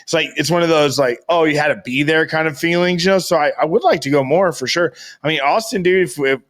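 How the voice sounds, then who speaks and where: A man's voice: a little high, fast, a bit bright. A man co-hosting a podcast, chatting informally in loose, slangy language. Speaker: podcast co-host. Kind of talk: casual chat.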